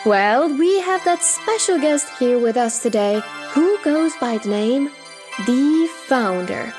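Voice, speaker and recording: A woman's voice: high voice, animated, slow pace, music in the background. A woman playing a polished TV talk show host in a scripted audio drama. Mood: presenting.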